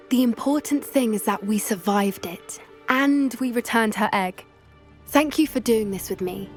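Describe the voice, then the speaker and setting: A young woman playing an earnest, gentle teenage witch who loves animals in a video game, with a British accent and an expressive delivery. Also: mid-range voice, natural voice.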